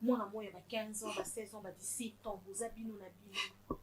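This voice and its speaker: A woman's voice: medium pitch, steady tone, brisk, very noisy, natural voice. A Congolese woman playing a furious, wounded middle-aged mother in a film drama, scolding insistently and repeating herself. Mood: bitter reproach. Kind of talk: improvised-sounding melodrama, switching between French and Lingala.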